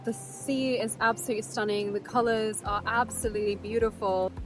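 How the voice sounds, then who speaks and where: A woman's voice: medium pitch, steady tone, a little fast, music in the background, poor audio. A young woman with a British accent, talking casually to the camera on a windy beach in a rambling, chatty way. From Netherlands. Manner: chatty, rambling, with fillers and restarts. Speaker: travel vlogger.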